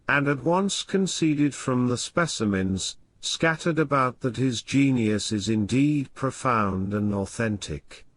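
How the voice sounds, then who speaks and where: A man reading a classic horror story aloud as scripted narration, in a formal, measured literary manner, letting his phrases fall at sentence ends. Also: mid-range voice. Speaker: audiobook reader.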